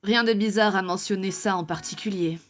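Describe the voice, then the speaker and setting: A woman voicing an unimpressed young cowgirl sidekick in a cartoon dub, dry, blunt and deadpan. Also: medium pitch, fairly quick, natural voice. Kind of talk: cartoon fan dub acting.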